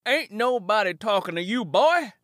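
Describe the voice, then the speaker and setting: A man's voice: high-pitched, lively intonation, normal speed, fairly bright tone, dry recording, studio quality, voice pushed up. Storybook heavy: threatening, cruel young man with a raised growl, played by a man. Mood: menacing.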